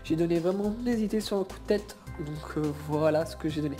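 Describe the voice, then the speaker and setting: A woman with a mellow, slightly low voice gives an off-the-cuff fan opinion. She rambles emphatically in colloquial language over soft background music. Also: a little fast. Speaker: guest debater, anime fan. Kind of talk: casual improvised opinion monologue.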